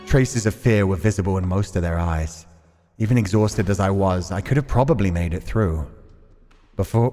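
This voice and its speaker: A man reading a visual novel aloud as an expressive, dramatic narrator, acting out the characters. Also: deep voice, big-room sound.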